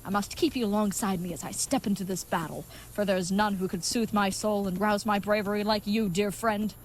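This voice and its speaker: Over clearly noisy ambience comes an earnest, simple-minded knight of an audio comedy , played by a woman. The voice sits at a middling pitch and delivers grand, florid, old-fashioned proclamations with kind-hearted chivalry. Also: fairly even intonation, a little fast, close to an everyday voice. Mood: fond, chatty. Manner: grandiose, florid, mock-archaic phrasing. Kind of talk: comedic audio drama acting.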